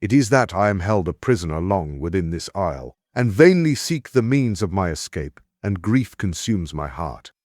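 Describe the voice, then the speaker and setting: Epic audiobook role: resolute ancient warrior king, deep and dramatic storyteller, dry close recording. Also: very animated, normal speed, few pauses, a little mellow, dry recording. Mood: pleading, earnest. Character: Greek warrior king recounting his hardships, resolute, earnest.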